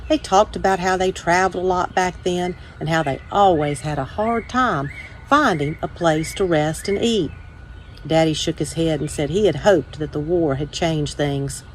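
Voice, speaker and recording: A woman's voice: low voice, normal speed, a little hiss or hum, rough recording. A woman reading a children's storybook aloud as a first-person narration. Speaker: story time reader.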